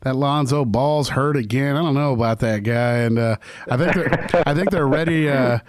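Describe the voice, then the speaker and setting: A man's voice: medium pitch, normal speed, no room sound, studio quality. A man hosting a podcast, chatty and upbeat, with a slangy, laid-back way of talking. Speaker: podcast host. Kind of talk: casual podcast interview.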